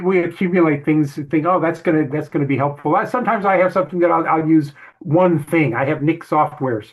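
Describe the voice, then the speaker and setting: Interview guest, male, Hungarian as first language, rambling and easygoing, on muffled call audio. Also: on the high side. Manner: rambling, self-correcting, easygoing. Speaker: guest photographer. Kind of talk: casual interview chat. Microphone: video call.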